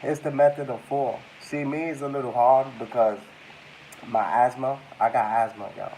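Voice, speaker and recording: Young man, stuffy and a bit under the weather, mellow tone, loose rambling vlog chat, faint hiss. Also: medium pitch, steady tone, normal speed, rough recording. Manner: rambling, addresses viewers as y'all. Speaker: vlogger. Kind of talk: casual talk to camera.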